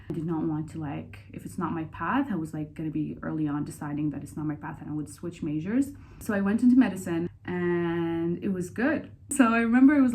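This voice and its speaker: A young woman from Saudi Arabia talking casually and candidly in a personal Q&A vlog, chatty and quick to laugh. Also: lowish voice, dark tone. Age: young adult. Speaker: vlogger.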